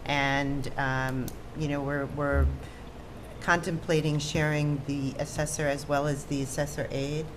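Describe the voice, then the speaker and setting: A woman with an American accent and a very low voice chairs a public meeting. She gives informal updates in measured, hesitant phrases with little melodic movement. Clear background noise from the room runs under her speech. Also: level tone. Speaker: town supervisor chairing a meeting. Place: indoor town board meeting.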